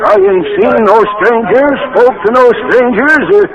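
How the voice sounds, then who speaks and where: An actor playing a folksy, plain-spoken village innkeeper with a rural American drawl in a 1950s radio play. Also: male voice, very high voice, normal speed, a crowd in the background. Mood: unhelpful, plain. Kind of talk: 1950s radio drama acting. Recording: dull old radio recording.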